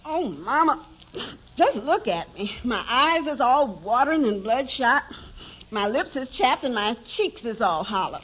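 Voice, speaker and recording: An actress playing a whiny, self-pitying wife with plaintive, drawn-out delivery in an old-time radio sitcom. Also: female voice, fairly high pitch, very animated, fairly bright tone, slightly rough, full character voice. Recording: muffled, faintly noisy vintage radio recording.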